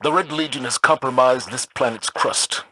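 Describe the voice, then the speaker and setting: A man's voice: medium pitch, moderate pace, sharp tone, no echo. A man playing a stern military commander in a video game, speaking formally and with authority. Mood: commanding.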